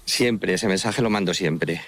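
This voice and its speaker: A man's voice: sits low, a little slow, fairly bright tone, slight background noise. A man with a La Mancha Spanish accent, calling in while walking outdoors beside an estuary, answering in a hesitant way with many fillers and restarts. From Spain. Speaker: guest, pilgrimage travel agent. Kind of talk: casual interview answers.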